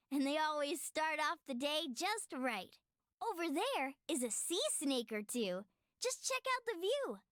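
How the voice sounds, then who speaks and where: High, sweet woman as a childlike game guide. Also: fairly bright tone, no room sound, studio quality, full character voice. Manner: sing-song rhyming delivery. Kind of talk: scripted rhyming children's educational narration.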